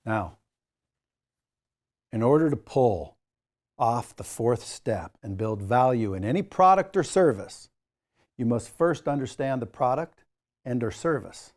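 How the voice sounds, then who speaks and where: Mellow-voiced male sales trainer, emphatic. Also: medium pitch. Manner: emphatic, jokey asides, coaching tone. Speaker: sales trainer, course instructor. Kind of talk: instructional presentation to camera, direct address.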